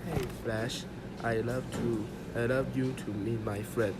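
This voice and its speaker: A man's voice: mid-range voice, steady tone, measured pace, close to an everyday voice. A man playing a sly, laid-back, teasing animal hustler in an amateur radio play. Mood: breezy, sly. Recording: noisy amateur room recording.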